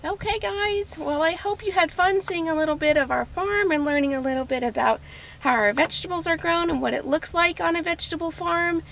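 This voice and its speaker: High-pitched woman with creaky voice, kid-friendly tour. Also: brisk, fairly bright tone, a little hiss or hum. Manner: upbeat, explaining in simple words to small children. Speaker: vegetable farmer giving a farm tour to preschoolers. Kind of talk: simple child-directed show-and-tell narration. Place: vegetable farm, in growing tunnels and outdoor beds.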